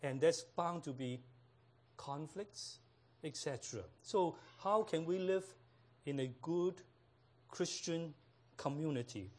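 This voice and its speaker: High-voiced slow-talking pastor from Hong Kong. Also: male voice, expressive. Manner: unhurried, laughs at own jokes, frequent fillers. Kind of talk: sermon with humorous stories.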